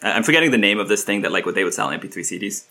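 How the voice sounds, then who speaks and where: Low, bright-voiced man chatting loosely. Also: expressive, racing. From Turkey. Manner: rambling, many fillers and restarts. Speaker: guest musician. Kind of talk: casual interview chat.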